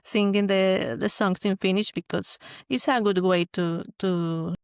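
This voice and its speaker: A woman from Mexico, a guest chatting casually and halting as she searches for words. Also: mid-range voice, steady tone, creaky, clean tone, no room sound, clean studio sound. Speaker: guest, metal journalist. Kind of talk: casual chat.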